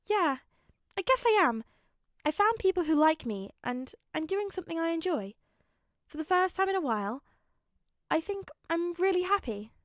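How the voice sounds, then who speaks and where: A woman plays an eager teenage boy from a storybook, pitched high. He is earnest and a little hesitant, tending to ramble as he explains himself. The sound is close and dry. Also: big pitch swings, moderate pace, pauses often, no room sound. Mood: quietly happy.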